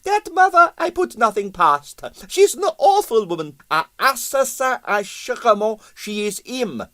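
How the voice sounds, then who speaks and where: A man voicing an excitable, boastful Russian ballet impresario, theatrical in broken English with bits of French. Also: very high-pitched, unhurried, no room sound, studio quality, pitched above the performer's own voice, full character voice. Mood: dramatic, scheming.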